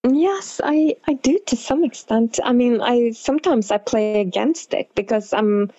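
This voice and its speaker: A woman's voice: on the high side, unhurried, dark tone, no room sound, dull audio. A woman with a Norwegian accent giving reflective answers as an interview guest, hesitant and searching for words. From Norway.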